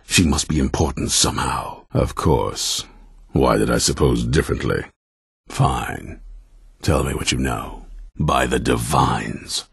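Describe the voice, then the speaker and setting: An older man playing a grim, ruthless vampire hunter commander in a video game, stern and blunt, with a very deep, gravelly, raspy voice. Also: measured pace, dry recording, clean studio sound, exaggerated voice. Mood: grim, bitter. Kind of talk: video game character dialogue.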